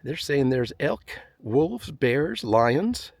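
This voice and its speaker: A man's voice: mid-range voice, sing-song, extremely slow, creaky, dry recording. A man vlogging as he hikes a desert trail, talking to himself in a chatty, joking way and sighing now and then from the climb. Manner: chatty, self-deprecating, talks to himself. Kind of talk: casual narration while hiking. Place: outdoors on a desert canyon trail.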